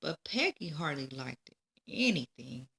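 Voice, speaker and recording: A woman narrates a children's read-aloud book at a slow, deliberate pace. Her voice is bright and forward with a noticeable creak, and her phrasing is expressive. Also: deep voice. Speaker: storybook reader.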